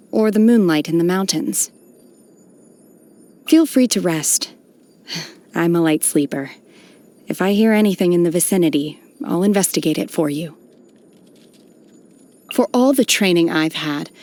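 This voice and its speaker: A slightly low-voiced young female exorcist acted by a woman for a video game. She is aloof and stoic, choosing her words with calm formality. Her delivery stays measured and reserved, like someone raised by immortals far from society. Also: lightly acted. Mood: calm, detached. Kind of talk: scripted video game character voice lines.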